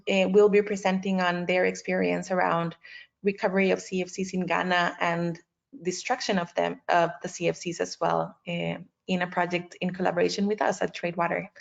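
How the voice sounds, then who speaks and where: A woman's voice: fairly low pitch, gravelly. A woman hosting an online panel, speaking off the cuff in a polite, accommodating way. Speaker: host and moderator of an online panel. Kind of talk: moderating a webinar, unscripted. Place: video conference call. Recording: dull lo-fi online call audio.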